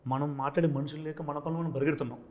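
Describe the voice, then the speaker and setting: Guy film director in an interview, talks super fast, slightly high voice, earnest and explaining stuff. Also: steady tone, darkish tone. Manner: philosophical, explanatory, mixes English into his talk.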